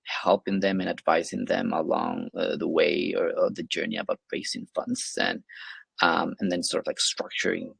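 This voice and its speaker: A man's voice: deep voice, little pitch movement, moderate pace, vocal fry, fairly bright tone, slightly rough, no room sound. A man from Venezuela, a guest explaining his work in a webinar interview, hesitant with many fillers and restarts. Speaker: guest, investment platform associate.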